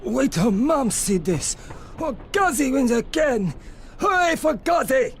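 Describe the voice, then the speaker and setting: A man's voice: extremely high pitch, big pitch swings, takes it slowly, a little hiss or hum, heavily acted. An actor playing a childlike, stubborn grown man devoted to his mother, who repeats himself in simple phrases. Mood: delighted. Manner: repetitive, sing-song rhyming, simple childlike phrasing.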